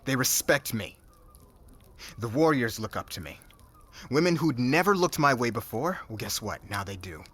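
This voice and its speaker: A man's voice: medium pitch, expressive, normal speed, a bit bright, husky. A man playing a cocky, defensive young warrior who talks in casual slang and stammers a little in an audio roleplay. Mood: defiant. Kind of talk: ASMR audio roleplay drama.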